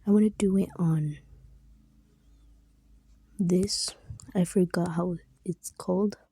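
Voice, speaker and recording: A woman's voice: lowish voice, fairly even intonation, slow, breathy edge. A young woman chatting casually while she draws, often half-whispering and putting herself down. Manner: soft, often whispering, self-deprecating. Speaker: drawing video creator. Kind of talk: casual chat while drawing.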